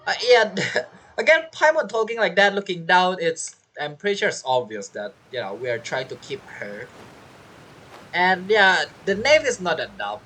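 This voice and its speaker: A man's voice: sits high, sing-song, normal speed, pushed and bright, rough recording. A man streaming a game playthrough with casual commentary, musing aloud and making joking asides.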